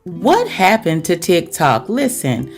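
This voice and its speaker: A woman with a fairly low voice hosts a podcast monologue over clearly audible music. She addresses listeners like close girlfriends, chatty and confiding, tossing out questions as she goes. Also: big pitch swings, slow pace. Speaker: podcast host.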